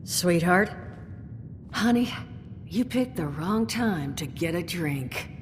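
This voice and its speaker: A woman's voice: fairly low pitch, expressive, slow pace, slight background noise. An actress voicing a world-weary, unflappable woman who runs a bar, drawling and calling people sweetheart and darling. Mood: unfazed. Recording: reverberant game mix with music.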